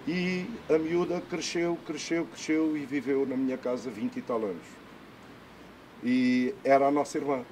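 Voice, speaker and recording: A man's voice: mid-range voice, steady tone, moderate pace, darkish tone, a little hiss or hum, poor audio. A man recounting memories in a documentary interview, emphatic and heartfelt. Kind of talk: personal recollection.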